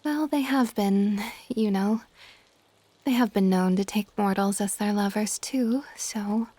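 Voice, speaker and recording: A woman voicing an ancient, gentle and possessive dragon goddess in a soft, intimate, partly whispered ASMR roleplay. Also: mid-range voice, measured pace, dry recording, natural voice. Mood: gently probing. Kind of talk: ASMR romantic audio roleplay.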